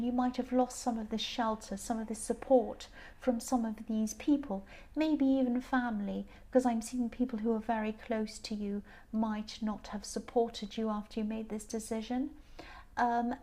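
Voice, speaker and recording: A woman with a slightly croaky, tired voice giving a casual tarot reading, gentle and meandering with many ums and restarts. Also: a little high. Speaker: tarot reader.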